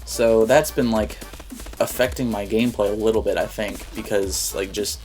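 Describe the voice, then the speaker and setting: A man's voice: fairly low pitch, fairly even intonation, moderate pace, darkish tone, soft background music. A young man with a cold and a stuffed-up nose, chatting casually and rambling with lots of likes and ums while he plays a video game. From Philadelphia area, United States. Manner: rambling, frequent likes and ums, mildly annoyed. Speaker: gaming YouTuber. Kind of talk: casual gameplay commentary.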